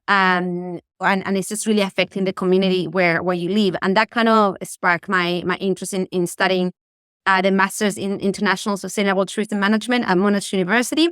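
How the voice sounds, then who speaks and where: Chilean-accented woman presenter, enthusiastic webinar delivery, smooth and dry. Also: medium pitch, fairly bright tone, no room sound. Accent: Chilean Spanish. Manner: enthusiastic, many fillers. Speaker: tourism sustainability presenter. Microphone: video call.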